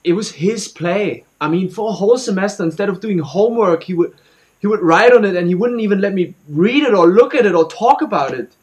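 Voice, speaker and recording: A young man performing a dramatic monologue as an anxious, guilt-ridden student, speaking haltingly and repeating his words. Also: sits high, expressive. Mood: troubled, puzzled. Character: anxious, guilt-ridden student confiding about a troubled friend.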